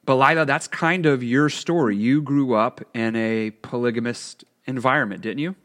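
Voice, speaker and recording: A man hosts a conversational interview, drawing out his guest with curious, encouraging questions. His voice sits at an ordinary middle pitch. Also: moderate pace, a bit bright. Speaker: interview host.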